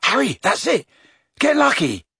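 A man's voice: mid-range voice, unhurried, slightly rough, no echo, clean studio sound, pitched above the performer's own voice. A man voicing an indignant, blunt teenage boy in an audiobook, emphatic and incredulous. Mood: excited, incredulous.

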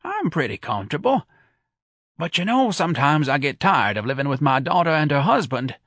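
A man's voice: a little high, very animated, brisk, fairly bright tone, dry recording, studio quality, pitched above the performer's own voice. A man voicing a mild, lonely old man in an audiobook, polite, plain-spoken and earnest. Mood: wistful.

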